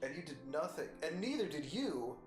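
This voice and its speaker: A man's voice: on the high side, big pitch swings, a little fast, music in the background. Playful male streamer with an Arizona mumble. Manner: playful, teasing, rambling jokes. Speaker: live streamer host. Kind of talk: casual chat with viewers.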